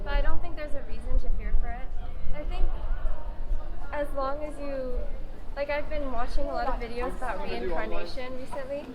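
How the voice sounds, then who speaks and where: High-voiced woman from Hong Kong. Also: normal speed. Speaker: street interviewee. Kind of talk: casual street interview answers. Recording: loud street noise.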